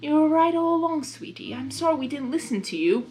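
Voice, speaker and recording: A woman's voice: sits high, lively intonation, fairly quick, warm tone, soft background music, pitched above the performer's own voice. A woman voicing a ladylike female pony who is genteel and soothing. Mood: consoling.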